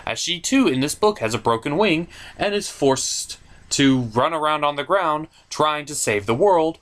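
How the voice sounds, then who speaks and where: A man's voice: a little high, lively intonation, moderate pace, a bit bright. A man hosting a puppet review show, narrating a scripted comedic cartoon review in a theatrical, mock-exasperated manner.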